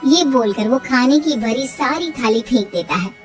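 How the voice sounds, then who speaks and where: High-pitched woman narrating a story. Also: animated, moderate pace, recorded from a distance, soft background music. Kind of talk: scripted cartoon story narration.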